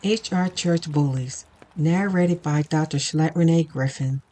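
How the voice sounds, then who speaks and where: Female narrator for scripted drama: deep register, unhurried, deliberate first-person storytelling. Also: mellow.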